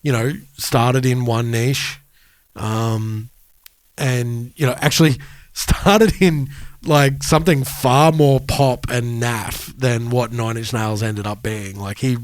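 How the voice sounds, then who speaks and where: A man's voice: medium pitch, fairly even intonation, takes it slowly, no room sound, studio quality. A man co-hosting a music podcast, talking in a casual conversational style. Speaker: podcast co-host.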